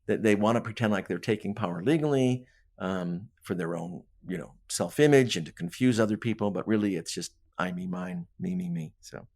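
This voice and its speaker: A man with a fairly low voice talks about books as an interview guest. He is conversational and emphatic, punctuating his points with little questions. He slips into imagined dialogue to explain what he means. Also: animated, fairly quick, creaky, a little mellow. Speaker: guest author on a podcast. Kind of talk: animated literary discussion in conversation.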